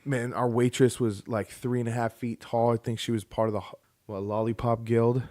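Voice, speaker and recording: A man's voice: medium pitch, little pitch movement, moderate pace, a little mellow. A man in his thirties, co-hosting a podcast, joking and rambling in casual chat while getting over the flu. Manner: joking, rambling, laughs often. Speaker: podcast co-host.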